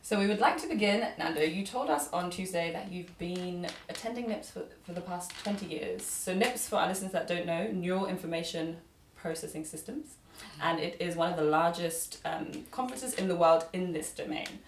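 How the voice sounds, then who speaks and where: A woman's voice: lowish voice, normal speed. Woman host, distant and echoey. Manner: polite, scripted questions. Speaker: podcast host. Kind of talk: conversational podcast interview. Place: conference venue, indoors. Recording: echoey room, distant mic, faint noise.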